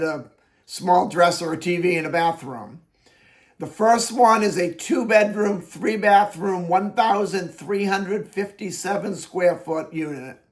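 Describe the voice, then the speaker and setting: A man working as a real estate agent, talking through his daily listing video in a plain, conversational way, his voice raspy from allergies. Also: sits high. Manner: plain-spoken, conversational, explains at length. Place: Florida Keys.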